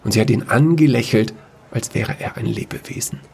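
A man narrating a sci-fi fairy-tale audio story in a measured storytelling manner. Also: mid-range voice, gravelly, a little mellow, slightly rough.

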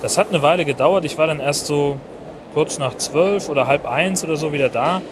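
A man hosting his podcast in a chatty, relaxed way with many fillers and restarts, recorded outdoors at a busy conference venue. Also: a little high, normal speed. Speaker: podcast host. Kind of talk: casual solo podcast recap. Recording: noisy outdoor background. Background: crowd and traffic ambience.

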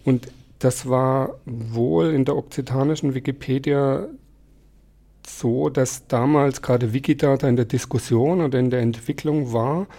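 A man in his forties whose first language is Alemannic, a podcast guest explaining things calmly with frequent pauses and fillers. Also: medium pitch. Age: 40s. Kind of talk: conversational interview.

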